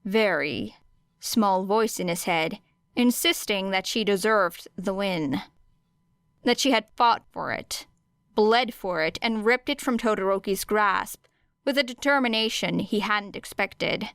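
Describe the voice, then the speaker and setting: Slow, clear female audiobook narrator. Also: medium pitch, fairly bright tone, dry recording, clean studio sound. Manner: measured, deliberate pauses. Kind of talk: scripted fiction narration.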